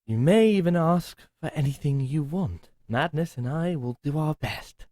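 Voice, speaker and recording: A man's voice: a little high, lively intonation, moderate pace, a little mellow, no echo, studio quality, close to an everyday voice. A man playing a chipper, theatrical male butler with a hidden menace in an audio roleplay drama, speaking formally.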